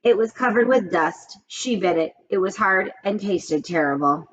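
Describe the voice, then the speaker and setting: A woman's voice: medium pitch, lively intonation, normal speed, highs cut off, a little hiss or hum. A woman reading a children's storybook aloud, expressively and with asides, speaking straight to young listeners.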